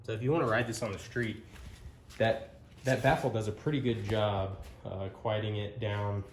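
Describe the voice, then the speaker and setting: A man's voice: on the low side, moderate pace. A man giving a casual hands-on product walkthrough in a plain-spoken, somewhat hesitant way. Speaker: amateur motorcycle reviewer. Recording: clear steady background noise.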